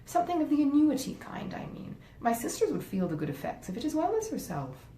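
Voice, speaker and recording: Lady reader doing an earnest pompous husband in an old novel, easily swayed, soft tone, bit echoey. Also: big pitch swings, fairly quick. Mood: deliberating. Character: grave, well-meaning but easily swayed gentleman husband. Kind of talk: acted dialogue in a classic novel reading. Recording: roomy echo, faint rumbling noise.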